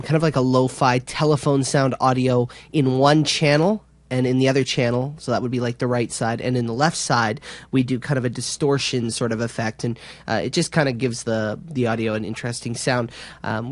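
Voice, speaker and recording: A man giving an informal, somewhat halting software tutorial as an instructor. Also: medium pitch, no echo.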